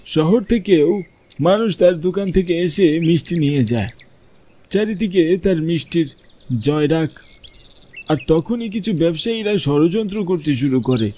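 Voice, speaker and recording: A man voicing a kindly, pious village cleric in a cartoon story, reassuring and measured as he talks. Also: fairly high pitch, lively intonation, dark tone, voice pushed up. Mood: solemn recounting.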